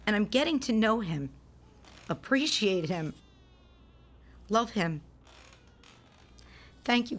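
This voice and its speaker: An actress playing a tender, fragile young mother of a sick newborn in a radio drama, earnest and expressive. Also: medium pitch.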